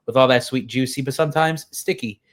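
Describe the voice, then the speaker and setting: Male co-host with a middle-register voice for relaxed, conversational show talk. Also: mid-range voice, a little fast. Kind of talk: casual show introduction.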